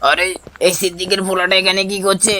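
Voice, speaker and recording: A man's voice: high voice, little pitch movement, fast, bright, forceful tone, a little hiss or hum. A man voicing an irritable cartoon village farmer who complains and exclaims to himself. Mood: angry. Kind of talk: cartoon voice acting.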